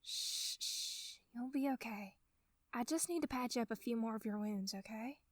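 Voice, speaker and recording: A woman voicing an earnest, emotional young heroine with dramatic delivery in an animated drama. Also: fairly high pitch, moderate pace, no room sound, clean studio sound, lightly acted. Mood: soothing. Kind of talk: dramatic character acting.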